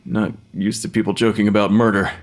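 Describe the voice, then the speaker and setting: An actor playing a nervous young forensic pathologist, hesitant, apologetic and easily flustered, in an audio drama. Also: male voice, lowish voice, flat delivery, fairly quick, natural voice. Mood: awkward, uneasy. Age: young adult.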